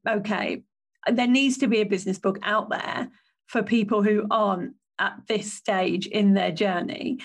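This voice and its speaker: A woman hosting her own business podcast, with a slightly croaky voice and a chatty, self-correcting way of talking. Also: mid-range voice. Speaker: podcast host.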